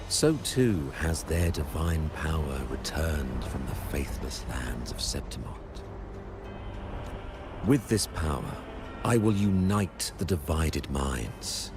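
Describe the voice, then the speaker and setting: A man's voice: extremely low pitch, exaggerated voice. A man playing a solemn, zealous religious prophet in a video game, speaking formally and grandly as if preaching.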